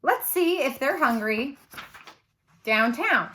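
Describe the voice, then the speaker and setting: A woman's voice: high voice, lively intonation, takes it slowly, fairly bright tone, slight background noise, exaggerated voice. A woman voicing an easygoing, affectionate father in a storybook. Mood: resigned, easygoing. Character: easygoing, affectionate father who drives a taco truck.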